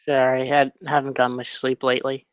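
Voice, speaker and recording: A man acts a weary ex-convict trying to stay clean in a screenplay table read. He is meek and hesitant, apologizing as he goes, in a medium-pitched voice with a dry, close sound. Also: completely flat delivery, fairly quick, clean tone, dry recording, close to an everyday voice. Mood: groggy, apologetic. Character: meek, weary male ex-convict, recovering addict.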